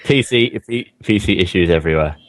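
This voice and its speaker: A young man with a hoarse, strained voice, casting an online game match in a rambling, filler-heavy way. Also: deep voice, animated, fairly quick. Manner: rambling, many fillers and restarts. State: losing his voice. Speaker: esports caster. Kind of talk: casual game commentary.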